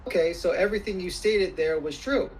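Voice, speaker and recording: A man questioning a witness as an attorney, formal and persistent, repeating questions deliberately. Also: high voice, a little mellow, a little hiss or hum, poor audio. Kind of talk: formal deposition cross-questioning.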